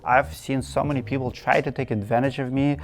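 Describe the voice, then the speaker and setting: A man's voice: mid-range voice, fairly even intonation, a little fast. A man from Russia with a Russian accent, hosting his channel and giving earnest, direct advice to camera point by point. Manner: earnest, direct, list-by-list advice with emphatic repetition. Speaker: channel host.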